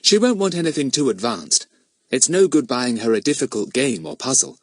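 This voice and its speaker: A man's voice: medium pitch, very animated, moderate pace, dry recording, studio quality, pitched above the performer's own voice. A man voicing a sensible, polite schoolgirl in a storybook, earnest and a little prim. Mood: practical.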